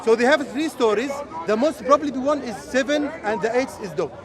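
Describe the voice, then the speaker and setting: Female street debater, high voice, halting emphatic English storytelling, outdoors amid crowd chatter. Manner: halting non-native English, emphatic explaining. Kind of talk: impromptu religious storytelling and debate. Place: outdoors in a public park debate spot.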